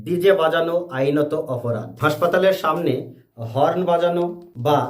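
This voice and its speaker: A man presenting a scripted educational explainer in deliberate, separate phrases. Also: a little high, a little mellow. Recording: echoey room.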